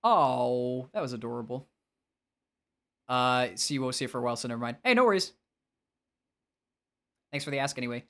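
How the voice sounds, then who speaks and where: A man's voice: mid-range voice, a little fast, pauses often. Nasal man chatting on a game stream. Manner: expressive, self-deprecating, breaking off to answer chat. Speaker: game livestreamer. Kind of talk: casual livestream chat while gaming.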